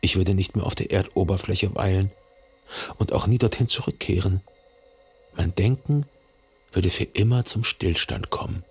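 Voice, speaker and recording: An actor playing a brooding, obsessive jilted lover who narrates his thoughts in an introspective, deliberate way in a literary radio drama. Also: low-pitched, darkish tone, slightly rough. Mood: bleak reflective. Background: music.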